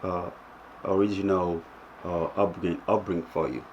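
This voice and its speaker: A male interview host from West Africa who asks questions in a halting way with many fillers. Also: sits low, steady tone, a little mellow, lo-fi sound. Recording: faint background noise.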